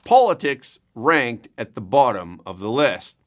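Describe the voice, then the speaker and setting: A man reading scripted news as an anchor, with a measured, emphatic delivery. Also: mid-range voice, very animated, a bit bright.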